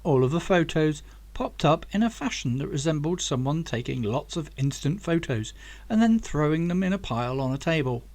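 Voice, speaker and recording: Higher-pitched man reading an audiobook. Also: animated, moderate pace, a little mellow. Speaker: author reading own book. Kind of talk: scripted audiobook narration.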